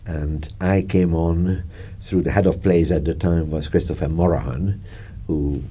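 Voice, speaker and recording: An older man with a Hungarian accent recalls his work with a chuckle, his phrases halting and looping back. His voice sits very low and sounds warm and mellow. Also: husky. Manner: chatty, laughing, gesturing, halting. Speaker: film director. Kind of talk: reminiscing interview. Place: dark studio, seated in armchair.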